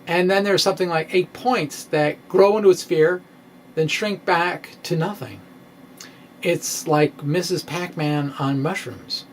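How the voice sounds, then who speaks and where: A middle-aged man gives an informal, theatrical science monologue in a halting, quirky storytelling manner. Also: a little high, slight background noise. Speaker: science vlogger, amateur physicist. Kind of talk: informal dramatic blog reading, explanatory monologue. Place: indoor room with bookshelves. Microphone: microphone on stand, close in front.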